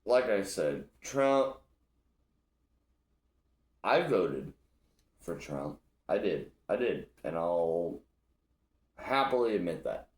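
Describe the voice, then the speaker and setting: A man's voice: low voice, sing-song, takes it slowly, pauses often, roomy sound. A young man from Illinois hosting a solo podcast, ranting bluntly with lots of profanity. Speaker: podcast host. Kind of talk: casual solo podcast rant.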